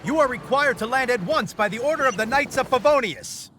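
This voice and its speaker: A man's voice: extremely high pitch, animated, a little fast, sharp tone, a little hiss or hum, heavily acted. A man voicing a stiff, officious knight in a game, speaking in a formal, by-the-book way.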